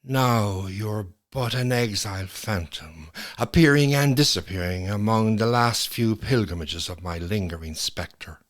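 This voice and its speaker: A man's voice: on the low side, exaggerated voice. An actor playing a lonely, nostalgic old Irish man in a reflective, lyrical stage monologue. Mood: tender longing. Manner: wistful, lyrical, measured with lingering pauses. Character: lonely, nostalgic old widower, quietly ruminating. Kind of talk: dramatic monologue, scripted first-person storytelling.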